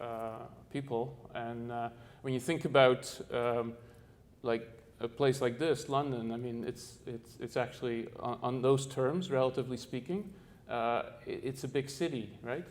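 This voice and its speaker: This is a middle-aged man from the Netherlands giving a talk on architecture to an audience. His voice sits in an ordinary middle pitch. He halts often, leaning on fillers and restarting his phrases while he searches for the right words. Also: a little slow. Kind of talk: presentation to an audience.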